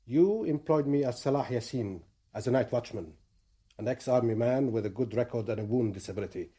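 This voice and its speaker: Actor as courteous, quietly menacing militant leader. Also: male voice, mid-range voice, breathy edge, lightly acted. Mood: silky, menacing. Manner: measured, formal, quietly menacing. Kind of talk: radio drama acting.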